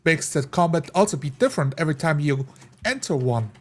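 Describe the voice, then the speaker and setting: A man's voice: fairly high pitch, expressive, a little fast. A man in a casual discussion of gaming, explaining things through rhetorical questions. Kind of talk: casual discussion of game tactics.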